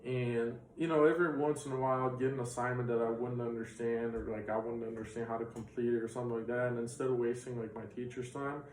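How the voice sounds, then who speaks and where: A man's voice: medium pitch, flat delivery, fast, few pauses, darkish tone, slight background noise. A young man in his twenties, originally from Bulgaria with Bulgarian as his mother tongue, tells his story in a loose, rambling interview manner. He sits some way from the microphone, and the room gives his voice a noticeable echo. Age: 20s. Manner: rambling, filler-heavy recollection. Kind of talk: casual interview. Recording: roomy sound from a distant mic.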